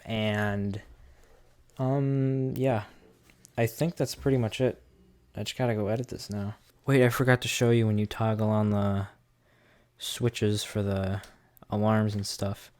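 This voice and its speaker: Clear-voiced man casually reviewing gadgets. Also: lowish voice, a little mellow. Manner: informal, rambling, addresses viewers. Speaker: host of a gadget review series. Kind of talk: casual hands-on product demo.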